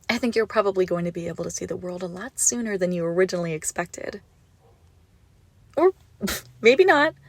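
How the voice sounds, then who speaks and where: A woman playing an obsessive, gushing yandere kidnapper in a roleplay audio drama, menacingly sweet, with breathy, doting coos. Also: medium pitch, no echo. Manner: breathy doting coos, often dropping to whispers. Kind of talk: roleplay audio drama, intimate monologue to listener.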